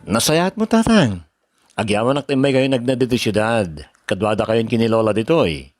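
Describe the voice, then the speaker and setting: A man's voice: mid-range voice, expressive, normal speed, soft background music, lightly acted. An actor playing a respectful, grateful grown son who serves as a policeman in an Ilocano radio drama.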